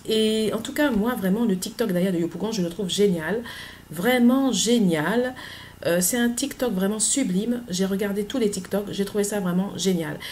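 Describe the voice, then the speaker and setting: Earnest woman, halting speech. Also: medium pitch, darkish tone, a little hiss or hum. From Côte d'Ivoire. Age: middle-aged. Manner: earnest, hesitant, many filler pauses. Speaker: author. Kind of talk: personal vlog monologue.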